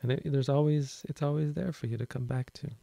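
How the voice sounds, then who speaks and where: A man with a quiet voice giving a calm, slow Buddhist talk to an online audience. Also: medium pitch, gravelly, dry recording. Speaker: Buddhist teacher. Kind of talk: dharma talk.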